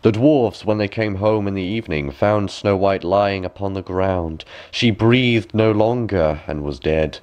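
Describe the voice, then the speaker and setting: A man's voice: low voice, a little slow, few pauses, darkish tone. A man reading a fairy tale as a bedtime story, with dramatic, careful phrasing.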